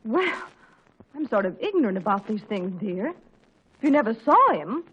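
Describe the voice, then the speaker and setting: A woman's voice: fairly high pitch, sing-song, measured pace, a bit bright, muffled recording, a little hiss or hum. A woman acting a slightly vain, puzzled mother in her thirties, with dry, coy delivery in a 1940s radio sitcom.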